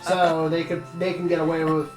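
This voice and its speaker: A man's voice: a little high, flat delivery, fairly quick, over music. A man giving casual, explanatory gaming commentary as part of a let's play. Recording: roomy, echoing sound.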